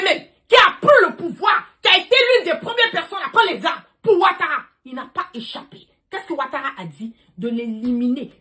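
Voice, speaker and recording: Female livestream host, very animated pitch, forceful and confrontational political rant with heavy emphasis. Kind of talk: impassioned political rant to followers.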